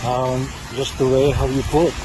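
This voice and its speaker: A man with a heavy Czech accent filming himself outdoors on a camera mic, talking through a product test in a casual vlog, with a halting delivery full of fillers and restarts. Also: mid-range voice, level tone. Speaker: product tester. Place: outdoors on trails and beach. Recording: outdoor noise.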